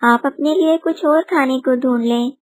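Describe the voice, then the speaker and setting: A woman voicing a gentle, honest mother bird in a children's story, polite and pleading. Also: sits high, moderate pace, pitched above the performer's own voice, natural voice.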